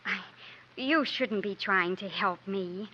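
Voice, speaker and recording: A woman's voice: mid-range voice, slow, bright, forceful tone, a little hiss or hum. An actress playing an anxious, well-bred young lady in a 1950s radio drama, with a refined, earnest, dramatic manner. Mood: guarded, anxious. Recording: muffled vintage 1950s radio recording.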